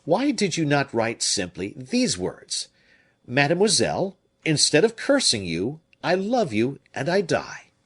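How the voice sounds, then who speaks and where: A man's voice: fairly high pitch, huge pitch swings, unhurried, husky, voice pushed up, exaggerated voice. A man reading an audiobook, voicing a blunt, shrewd male musketeer captain who speaks frankly and with animation.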